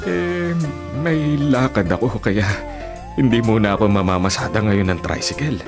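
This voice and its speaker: A man's voice: on the low side, animated, normal speed, over music. An actor playing a vain, evasive elderly father in a Filipino radio drama, hesitant and sheepish. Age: older.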